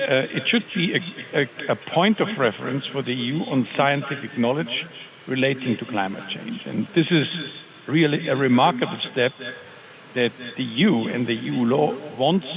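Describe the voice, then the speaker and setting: A man presenting to a conference audience in a deliberate, hesitant way, often asking for the next slide. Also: mid-range voice. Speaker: board chair presenting. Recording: muffled sound with crowd noise.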